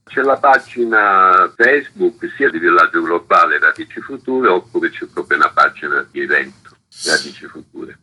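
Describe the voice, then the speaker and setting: Very deep, bright, husky man on radio. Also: animated, moderate pace. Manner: discursive, often ends phrases with a checking tag. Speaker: interview guest, representative of a self-managed arts centre. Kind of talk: radio interview, explanatory talk. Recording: dull lo-fi radio interview audio.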